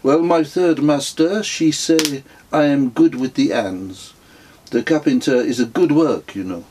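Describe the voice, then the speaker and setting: A man voicing a serene, self-satisfied foreign spiritual wanderer in a storybook, speaking earnest pronouncements in halting, non-native English. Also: fairly high pitch, a little mellow, slight background noise, pitched above the performer's own voice, natural voice.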